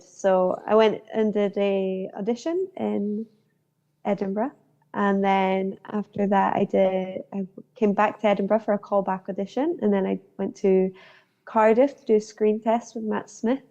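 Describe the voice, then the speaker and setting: A woman's voice: medium pitch, dark tone. A woman in her twenties from Northern Ireland chats through an interview, telling stories with plenty of fillers. Her voice sits in a middle register. The sound is dull and lo-fi. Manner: chatty, storytelling with fillers. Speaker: guest actor. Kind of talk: casual interview chat.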